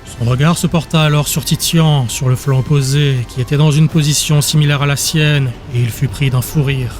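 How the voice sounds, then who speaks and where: Higher-pitched man narrating a dramatic audiobook. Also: steady tone, moderate pace. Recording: music behind the voice.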